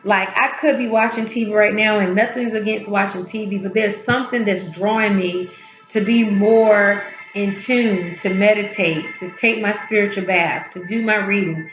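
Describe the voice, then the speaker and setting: A woman hosting a tarot and spiritual channel, her voice a little hoarse, talking slowly and encouragingly from her home healing space. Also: mid-range voice, steady tone, reverberant, muffled recording, over music. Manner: soft, unhurried, encouraging, with drawn-out pauses. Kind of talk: casual spiritual encouragement talk.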